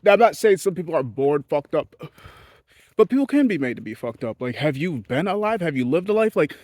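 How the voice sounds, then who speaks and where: A man's voice: very animated, a little fast, a little mellow, no room sound, clean studio sound. A man from Haiti giving a blunt, profane, rambling monologue to his audience as a vlogger. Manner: blunt, profane and rambling, with sudden jumps in pitch. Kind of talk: candid ranting monologue.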